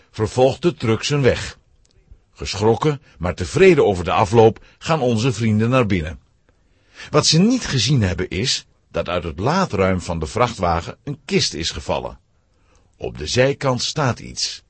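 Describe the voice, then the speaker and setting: A man's voice: fairly low pitch, breathy edge, dry recording. A man narrating a scripted children's story.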